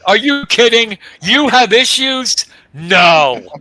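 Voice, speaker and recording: A man's voice: very high voice, sing-song, slow, pushed and bright, dry recording, poor audio. A man, co-hosting a sports talk show in a friendly, teasing way. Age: 50s. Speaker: co-host.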